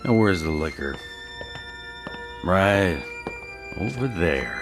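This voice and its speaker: An actor playing a cocky, scheming street drifter with swaggering, slangy talk in a radio drama. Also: male voice, low-pitched, sing-song, slow, over music, full character voice. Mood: insolent, commanding.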